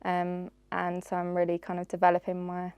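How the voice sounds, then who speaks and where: A woman's voice: fairly low pitch, completely flat delivery, a little fast, a little mellow, smooth, no room sound. A woman, a former master's student now a research assistant, thoughtfully explaining her career choice. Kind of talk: recorded career testimonial.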